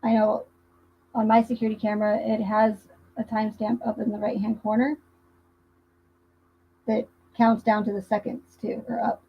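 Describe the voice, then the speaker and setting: A woman's voice: medium pitch, flat delivery, moderate pace, long pauses, breathy edge. A woman hosting a livestream, giving casual commentary in a conversational, emphatic way that repeats points for emphasis. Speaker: livestream host. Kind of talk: casual livestream commentary on a true crime case. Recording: muffled, dull lo-fi livestream audio.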